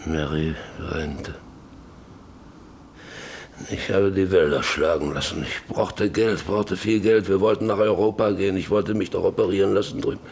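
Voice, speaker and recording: Bitter obsessed landowner in a german radio play, deep gravelly voice, brooding and insistent. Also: male voice, steady tone, normal speed, darkish tone, slight background noise. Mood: remorseful. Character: embittered, obsessed, paralysed landowner John Beverly drilling for oil.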